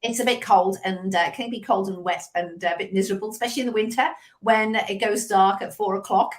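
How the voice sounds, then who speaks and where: A woman's voice: mid-range voice, fairly quick. Chatty Liverpool-accented woman in her fifties. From England. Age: 50s. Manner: chatty storyteller, lively asides. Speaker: sales coach guest. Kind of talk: casual interview chat. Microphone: video call.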